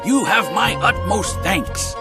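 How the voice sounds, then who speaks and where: A man's voice: sits high, lively intonation, slow, full character voice. A man voicing an effusive, wily landlord with a florid, ingratiating manner in an anime game. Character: effusive, wily male landlord, eager to please. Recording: music behind the voice.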